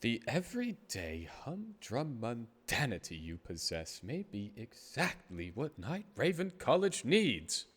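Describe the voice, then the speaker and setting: A man's voice: medium pitch, huge pitch swings, measured pace, hardly any pauses, fairly bright tone, slightly rough, exaggerated voice. A man playing a pompous, self-congratulating magic school headmaster, formal and melodramatic, in an anime fandub. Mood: grandly moved.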